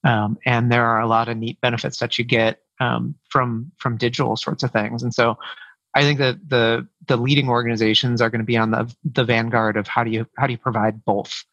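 A man talks through interview answers, often pausing and restarting his sentences. His voice sits dry and close with no room around it. The audio is a bit dull, as if through a modest mic. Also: medium pitch, flat delivery, a bit bright, no echo. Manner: hesitant, many fillers and restarts. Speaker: head of sales, podcast guest. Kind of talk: conversational interview.

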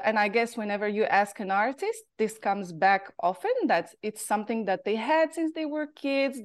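Moroccan woman, interview guest, higher voice, thoughtful and unhurried with many pauses. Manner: thoughtful, halting, pitch rising and falling. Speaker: guest, artist. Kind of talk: reflective interview storytelling.

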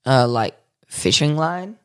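A man co-hosting a podcast, rambling through absurd improvised stories in a deadpan way with lots of fillers. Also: on the high side, smooth, no room sound, clean studio sound. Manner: slow, rambling, deadpan nonsense with many fillers. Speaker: podcast co-host.

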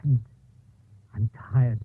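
An actor playing a menacing, lovesick doctor turned murderer in a 1950s radio drama, with an intense, brooding delivery. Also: male voice, mid-range voice, fairly even intonation, slow, breathy edge, no room sound. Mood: bitter confession. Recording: muffled, noisy 1950s radio broadcast recording.

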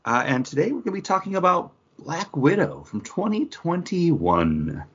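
A man co-hosting a podcast in a casual, chatty style, with a husky voice. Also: on the high side, very animated, normal speed, a bit bright. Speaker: podcast co-host. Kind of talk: casual chat. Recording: dull lo-fi audio.

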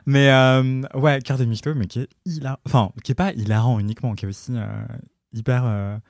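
A man co-hosting a chatty podcast, enthusiastic and quick, often stumbling over fillers as he explains things. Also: mid-range voice, steady tone, no room sound, studio quality. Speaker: podcast co-host. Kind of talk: casual chat.

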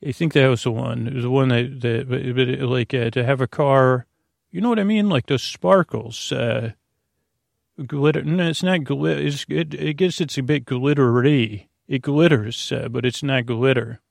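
An American man with a creaky voice, hosting a bedtime podcast in a lulling, rambling, hesitant way, full of filler words and tangents. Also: medium pitch, moderate pace, dry recording, clean studio sound. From United States. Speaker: podcast host.

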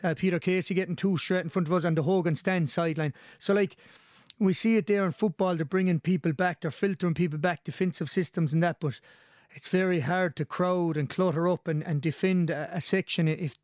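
A man with a Limerick Irish accent talks sport in a casual, enthusiastic, rambling way. His voice sits on the high side and is slightly hoarse. The sound is dry, with very little room on it. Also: fairly even intonation, brisk, a little mellow, dry recording. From Limerick, Ireland. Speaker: sports podcast pundit and commentator.

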